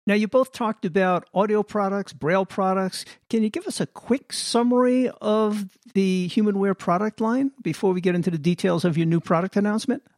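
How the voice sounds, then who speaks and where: A man's voice: sits high, fairly quick, few pauses, darkish tone, no echo, clean studio sound. A man co-hosting a podcast, asking guests friendly prompting questions. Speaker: podcast co-host. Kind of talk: interview hosting.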